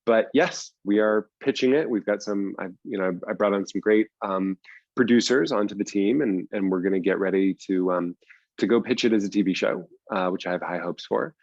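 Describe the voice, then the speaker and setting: A man's voice: fairly low pitch, normal speed, darkish tone, dry recording, muffled recording. A man speaking casually as an interview guest, explaining things in loose runs with repeats for emphasis. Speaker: writer and producer, interview guest.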